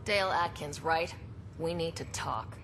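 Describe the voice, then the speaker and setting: A woman's voice: deep voice, unhurried, a bit bright. An actress playing a young female explorer in a video game, casual, wry and direct. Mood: confrontational. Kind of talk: scripted video game dialogue. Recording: reverberant space, clear background noise.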